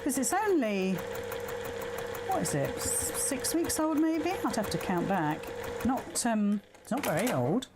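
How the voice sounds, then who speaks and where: A woman's voice: on the high side, very animated, unhurried, background noise. A woman giving a chatty live craft demonstration as a sewing tutor, bantering self-deprecatingly with viewers and talking through each step. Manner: talks aloud through each step, checking with okay. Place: indoors at a sewing machine.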